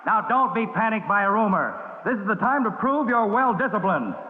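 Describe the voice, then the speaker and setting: An actor playing a forceful federal agent addressing a crowd in declamatory old radio style. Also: male voice, high voice, expressive, brisk. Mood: commanding. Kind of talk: 1950s radio acting. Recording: echoey tinny radio, noisy crowd.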